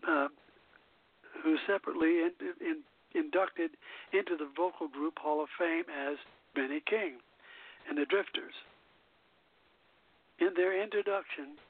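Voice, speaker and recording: Man reading haltingly over a thin phone line. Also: fairly high pitch. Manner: halting reader, stumbling and repeating phrases. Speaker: radio show host. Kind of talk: reading song history from script on a radio show. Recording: thin phone-line internet radio sound.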